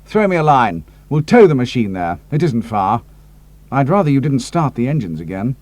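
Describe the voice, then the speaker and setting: A man voicing a decisive air ace with a crisp, clipped and authoritative manner. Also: mid-range voice, very animated, normal speed, a little mellow, close to an everyday voice. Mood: commanding. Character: commanding British air ace, decisive and brisk.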